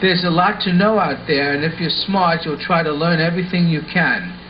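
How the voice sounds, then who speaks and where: A man's voice: on the high side, moderate pace, close to an everyday voice. A man playing an earnest, sensible schoolboy in a scripted puppet show, explaining things instructively. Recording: dull lo-fi sound with faint noise.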